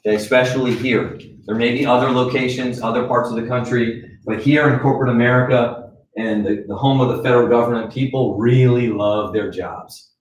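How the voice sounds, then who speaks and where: A man in his forties leading a live sales training in a conference room, with direct, animated coaching and frequent check-ins. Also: medium pitch, fairly quick, hardly any pauses, a little hiss or hum. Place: conference room with a wall screen. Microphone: far camera. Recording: echoey room from far off.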